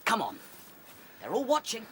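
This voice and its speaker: A man playing a small, plucky young rabbit in a radio drama, encouraging and quick. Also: high voice, wildly swooping pitch, a crowd in the background. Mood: eager.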